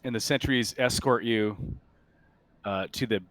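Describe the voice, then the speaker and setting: Male game master, medium pitch, conversational and halting, improvising scene narration for tabletop players. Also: fairly bright tone.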